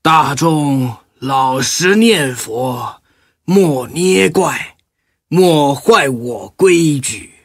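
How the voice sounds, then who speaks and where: Male actor as aged Buddhist master for audio drama: calm, grave, very slow, breathy-rough tone. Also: a little high, dry recording, clean studio sound, exaggerated voice. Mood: solemn, admonishing. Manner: slow, deliberate, solemn delivery.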